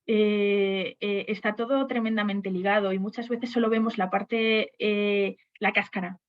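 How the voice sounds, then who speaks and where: A woman's voice: medium pitch, steady tone, unhurried, highs cut off. A woman on a webinar panel discussing HR topics, speaking hesitantly with frequent restarts and fillers.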